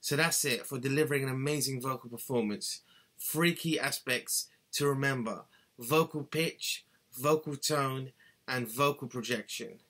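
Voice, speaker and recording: A man's voice: mid-range voice, a little slow, fairly bright tone. Man teaching singing to a webcam. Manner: earnest, instructive, gestures with both hands. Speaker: singing tutor. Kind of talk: scripted how-to talk to camera. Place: small cluttered bedroom, indoors.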